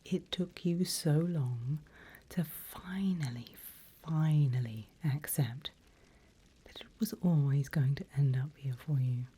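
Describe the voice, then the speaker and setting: Female ASMR demon guide: mellow, breathy whisper, unhurried, teasing and quietly menacing. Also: deep voice. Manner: slow, deliberate, playful menace. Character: seasoned female demon mentor, sly and teasing. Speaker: ASMR roleplay performer. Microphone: close ASMR microphone.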